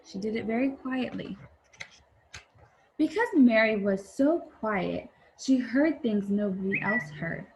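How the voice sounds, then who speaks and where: A woman teacher reading a picture book aloud to young viewers, with deliberate, expressive phrasing. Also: fairly high pitch, background noise. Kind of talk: children's read-aloud, addressing young viewers.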